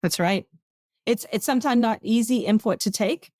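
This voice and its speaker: A woman's voice: a little high, normal speed, darkish tone, no room sound, studio quality. A woman guest on a podcast, speaking in a firm, matter-of-fact way. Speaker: podcast guest, government advocacy consultant. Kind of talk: casual podcast conversation.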